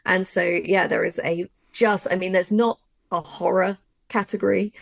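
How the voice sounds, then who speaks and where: A woman's voice: fairly low pitch, steady tone, moderate pace, gravelly, no room sound. A woman co-presenting a workshop, explaining things in a casual, chatty way and thinking aloud with asides. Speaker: workshop co-presenter and audio drama writer.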